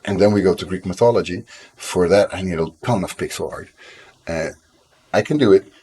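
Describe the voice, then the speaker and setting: Low-voiced Dutch man streaming casually. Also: fairly quick, warm tone, slightly rough. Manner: rambling, self-deprecating, frequent fillers and restarts. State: coughing now and then. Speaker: game developer streamer. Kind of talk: casual live-stream chat.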